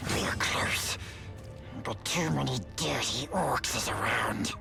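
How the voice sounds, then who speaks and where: An actor playing a small, sly, wretched creature in a game cutscene, muttering in broken grammar with a creaky, breathy hiss. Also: male voice, mid-range voice, slow pace. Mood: wary. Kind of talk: video game cutscene acting. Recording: loud game music behind.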